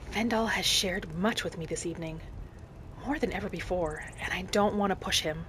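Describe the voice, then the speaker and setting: A woman playing an earnest, devout wood elf wanderer, narrating a fantasy game roleplay in measured, formal phrasing. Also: mid-range voice, slight background noise, lightly acted. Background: music.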